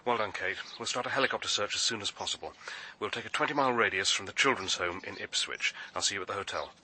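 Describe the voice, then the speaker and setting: A commanding detective in a radio drama. His lowish voice is very bright and forceful, and he gives orders quickly and decisively. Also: a little hiss or hum. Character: decisive, commanding senior male police detective.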